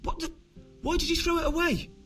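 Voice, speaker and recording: An actor playing a flustered, indignant young errand runner in a medieval video game, agitated and protesting. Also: male voice, very high-pitched, little pitch movement, fairly quick, fairly bright tone, faint music behind.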